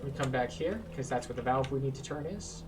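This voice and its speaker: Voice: mid-range voice, animated, brisk, far from the mic, background noise. A gameplay guide host giving casual walkthrough commentary on a video game.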